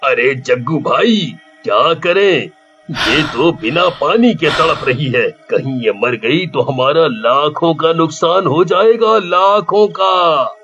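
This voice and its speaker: A man's voice: fairly high pitch, expressive, slow, a bit bright, exaggerated voice. Guy doing greedy gloating cartoon bad guy, drags words out all menacing, music behind. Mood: scheming. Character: gloating greedy male villain kidnapping a mermaid. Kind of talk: cartoon villain acting. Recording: dull lo-fi track with background music.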